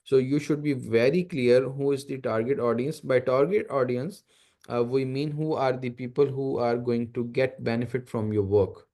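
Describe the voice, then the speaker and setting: A man's voice: mid-range voice, fairly even intonation, moderate pace, dark tone. A man with a Pakistani accent, a native Urdu speaker, giving an academic lecture as a guest university lecturer, explaining step by step with emphatic repetition. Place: online video meeting.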